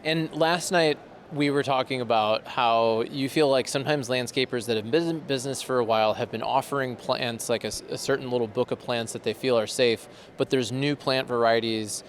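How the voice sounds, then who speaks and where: A man's voice: mid-range voice, fairly even intonation, a little fast, slight background noise. A man hosting a podcast, interviewing guests in a casual, upbeat, conversational way at a trade show. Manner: upbeat, conversational, asks follow-up questions. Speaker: podcast host.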